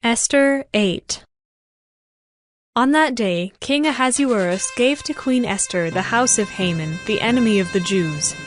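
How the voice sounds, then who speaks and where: A woman giving a formal, measured, scripted narration of a dramatized scripture story. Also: fairly high pitch, animated, no room sound. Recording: music behind the voice.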